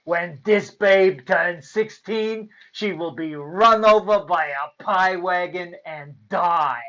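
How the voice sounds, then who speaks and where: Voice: mid-range voice, takes it slowly, fairly bright tone, highs cut off. A storyteller voicing a nasty wicked fairy woman in a storybook tale, snarling with false sweetness and barking out her words. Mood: menacing, barking. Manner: snarls sweetly, barks curses, drawn out.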